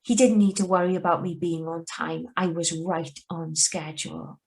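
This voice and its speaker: A woman playing a young wife who calmly confesses to murder in a live reading of a radio thriller. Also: on the low side, moderate pace, natural voice. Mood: calm, confessional. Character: young wife Patrice, calm remorseless killer confessing. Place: video call reading from home.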